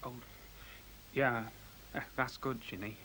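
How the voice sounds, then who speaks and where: An actor playing a preoccupied man in a 1970s radio play, distracted and halting. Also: mid-range voice, fairly even intonation, pushed and bright. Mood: dazed, distracted. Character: dazed, preoccupied man fixated on a lost machine. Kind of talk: 1970s radio drama acting. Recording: hissy 1970s radio recording.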